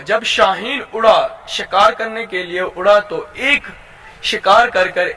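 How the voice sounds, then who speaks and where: A man with a Pakistani accent and a high-pitched, bright, forceful voice tells a simple moral tale. He narrates informally, in a halting way, often repeating a phrase before he carries on. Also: a little hiss or hum, rough recording. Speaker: YouTube storyteller and channel host.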